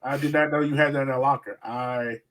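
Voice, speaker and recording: A man with a medium-pitched voice breaks down a soccer match as a podcast co-host. His delivery is casual and conversational, with emphatic points. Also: steady tone, a little fast, fairly bright tone, poor audio.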